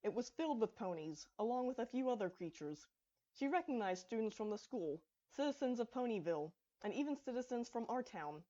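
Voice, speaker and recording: A woman's voice: fairly high pitch, very animated, fairly quick, warm tone. Woman narrating a story, slightly muffled sound. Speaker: fan fiction writer reading own story.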